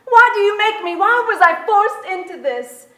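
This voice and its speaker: A woman's voice: very high voice, expressive, normal speed, bright, forceful tone, exaggerated voice. An actress playing a defiant, anguished teenage girl in a staged play reading. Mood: anguished, shouting. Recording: echoey theatre, distant mic.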